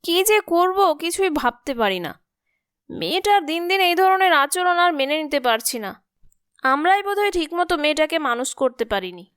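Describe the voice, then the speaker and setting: A woman's voice: very high-pitched, big pitch swings, normal speed, a bit bright, dry recording, clean studio sound, full character voice. A woman voicing a nagging, exasperated middle-aged mother in a cartoon, scolding and fretful. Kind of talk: cartoon story acting.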